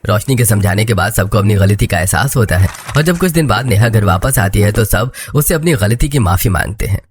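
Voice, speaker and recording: A man's voice: lowish voice, animated, brisk, a little hiss or hum. A man reading scripted narration for a moral story, with music behind him.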